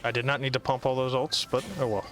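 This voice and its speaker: Casting: male gamer, mid-pitched, casual and sardonic running commentary, light music behind. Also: little pitch movement, quick pace, a bit bright.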